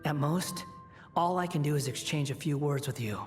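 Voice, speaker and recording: A man's voice: on the high side, normal speed, over music, lightly acted. An actor playing a proud, bitter young male wanderer in a video game, with a dry, aloof, cutting manner. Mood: grave. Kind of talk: video game character acting.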